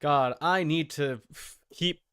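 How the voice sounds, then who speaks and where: A man's voice: fairly high pitch, slow, pushed and bright, clear, resonant tone, no room sound. A man streaming casual reaction commentary, thinking aloud in broken phrases close to the mic.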